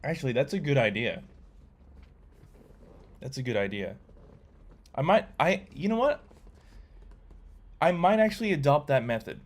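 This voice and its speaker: A man's voice: a little high, normal speed, long pauses. A young man chatting casually on a coding livestream, reading viewer comments aloud and rambling with lots of ums and uhs. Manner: relaxed, rambling, reads chat aloud with many fillers. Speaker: livestreamer learning to code.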